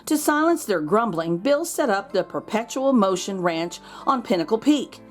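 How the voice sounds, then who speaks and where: A woman reading a children's picture book aloud as host, expressive, drawing out words for drama. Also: medium pitch, moderate pace. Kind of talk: animated storybook read-aloud for children.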